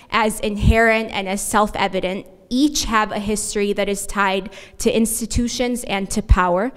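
Young woman lecturing in a reverberant hall. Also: medium pitch. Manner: measured, reads from notes with small restarts. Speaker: conference presenter. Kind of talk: academic conference talk. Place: large conference hall at a podium. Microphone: podium microphone.

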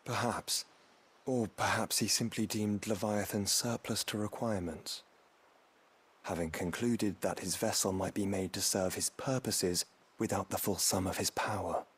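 An actor playing a composed, learned fantasy game companion, husky and breathy, speaking in measured, eloquent phrases. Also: male voice, on the low side, fairly even intonation. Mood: pensive. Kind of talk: dramatic video game acting.

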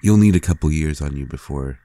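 A man playing a tender, adoring vampire lover in an intimate audio roleplay, gently teasing in a hushed, halting, gravelly voice. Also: dry recording. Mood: fond, gently teasing. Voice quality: very deep, gravelly and husky. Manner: slow, hushed, halting with pauses.

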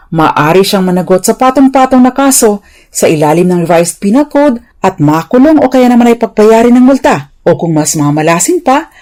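A woman's voice: medium pitch, dark tone. A woman with a Filipino accent giving a prepared radio legal explainer in a formal, polite, didactic manner. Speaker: lawyer and radio legal commentator.

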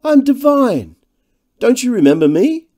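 A sardonic younger man from a storybook, acted by a male reader. His voice is lifted well above a deep natural register. He speaks in a breezy, glib, lightly mocking way. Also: wildly swooping pitch, a little slow, dark tone. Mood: breezy recognition.

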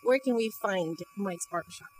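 A woman hosting a local TV interview in a small barbershop, speaking in a bright presenter tone into a handheld mic. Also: medium pitch, normal speed, loud music behind the voice, poor audio. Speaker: interviewer host.

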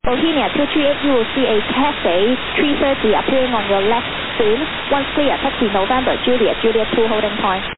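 A woman air traffic controller gives clipped ground instructions over aviation radio. Her voice is on the high side, squeezed into a narrow band and buried in loud hiss. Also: brisk, few pauses.

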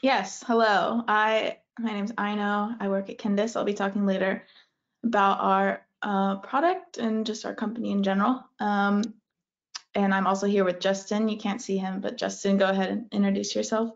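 Company presenter, woman; monotone with vocal fry, dull distant call audio. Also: mid-range voice, moderate pace. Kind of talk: webinar self-introduction.

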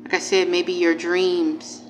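Low-pitched woman reading tarot, noisy recording. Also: little pitch movement, slow. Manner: informal, emphatic, repeats phrases, addresses viewer directly. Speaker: tarot reader, channel host. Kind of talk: casual spiritual tarot reading to viewers. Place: indoors at a table. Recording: clearly noisy home recording.

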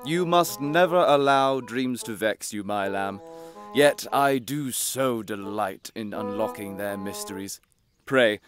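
A man playing a proud, villainous gentleman suitor in a storybook audio drama, theatrical, elegant and condescending. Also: medium pitch, close to an everyday voice. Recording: music behind the voice. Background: music.

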